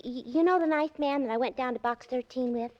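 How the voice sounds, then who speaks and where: An actress playing a naive, chatty, scatterbrained secretary with guileless comic delivery in 1940s radio style. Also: female voice, high-pitched, brisk, lightly acted. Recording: muffled 1940s radio, long reverb.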